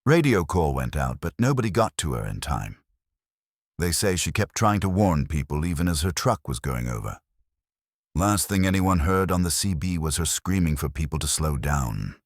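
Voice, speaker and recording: Somber patrol officer, acted by a male narrator, quiet, measured, extremely low voice, ghost-story delivery. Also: sing-song, no echo, studio quality, heavily acted. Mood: grave.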